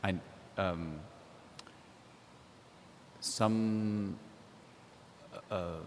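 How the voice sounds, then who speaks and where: A man talking as a literary translator on a panel, thoughtful and hesitant, with many pauses and ums. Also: low voice, fairly even intonation, darkish tone, a little hiss or hum. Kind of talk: panel discussion. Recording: echoey hall.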